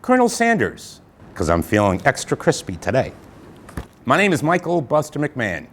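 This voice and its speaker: A man's voice: mid-range voice, huge pitch swings, moderate pace, slight background noise. A man hosting a show, signing off with a folksy, jokey, old-fashioned announcer manner. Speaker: host. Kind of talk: scripted show sign-off.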